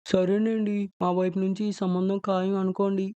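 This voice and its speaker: A man's voice: high voice, little pitch movement, normal speed, dark tone, clear, resonant tone, natural voice. A man voicing a kind, reassuring father in a cartoon story. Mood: pleased.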